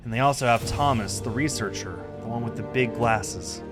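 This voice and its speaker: A man's voice: medium pitch, moderate pace, music in the background. A man in his thirties, a game streamer, reading visual novel narration aloud. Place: indoor room, music behind the voice.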